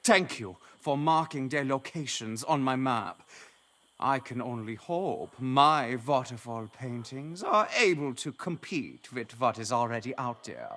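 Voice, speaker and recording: An actor playing an affable, envious landscape painter in a video game, musing theatrically. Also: male voice, mid-range voice, expressive, measured pace, pushed and bright. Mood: musing, admiring. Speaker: quest-giving game character. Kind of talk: video game character dialogue.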